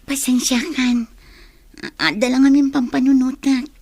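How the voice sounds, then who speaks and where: A woman's voice: high voice, fairly even intonation, measured pace, a little mellow, a little hiss or hum. A woman with an Ilocano Filipino accent playing a pensive, lovelorn young student in a radio drama. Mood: evasive, uneasy.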